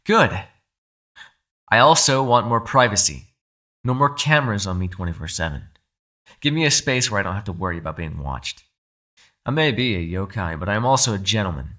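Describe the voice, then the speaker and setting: A captive male yokai played by a man speaks straight to the listener in an ASMR roleplay. His voice is fairly low yet bright and forceful. He is proud, gentlemanly and protective, making his demands in articulate, courteous phrases. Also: lively intonation, fairly quick, pauses often. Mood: firm, dignified. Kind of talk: ASMR audio roleplay, dramatic character acting.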